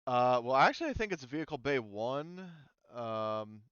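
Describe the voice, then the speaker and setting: A man's voice: medium pitch, big pitch swings, normal speed, a bit bright, clean tone, no room sound. A man running a tabletop game as game master, talking casually and hesitantly as he thinks aloud, on a muffled voice-chat line.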